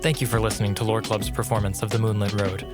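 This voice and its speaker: A man's voice: fairly low pitch, level tone, fast talker. A man hosting a podcast, slightly congested from allergies, addressing listeners casually in an outro. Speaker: podcast host. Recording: prominent music behind the voice. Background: music.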